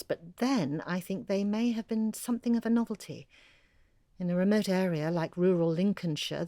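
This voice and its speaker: A woman's voice: on the low side, mellow, no room sound, natural voice. An actress playing a woman who reads out her own long email as a confiding storyteller in an audio drama. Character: Hilary, a woman letter-writer recounting local history. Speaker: letter writer.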